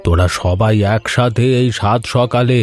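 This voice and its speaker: Tender old village dad, acted by a man, medium pitch, welcoming, family cartoon with music beneath. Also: measured pace, lightly acted. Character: affectionate old village father welcoming his daughters. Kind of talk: Bengali animated family story dialogue.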